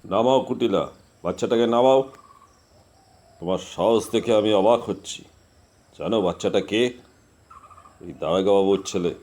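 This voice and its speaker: Low-voiced, stern village landowner played by a man. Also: moderate pace, pauses often, warm tone, natural voice. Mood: stern, indignant. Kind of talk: Bengali horror audio drama acting.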